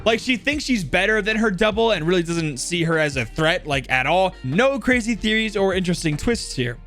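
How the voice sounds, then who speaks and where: A man's voice: sits high, animated, fairly quick, a bit bright, soft background music. A man giving chatty, casual game lore commentary, speculating and addressing the viewers.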